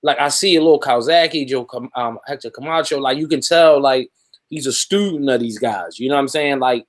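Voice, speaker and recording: A man chats as a boxing panelist. His voice sits at a middle pitch, and he breaks fights down in a loose, colloquial, conversational way. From Philadelphia. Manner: conversational, colloquial, often checks in with "you know". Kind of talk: casual sports commentary.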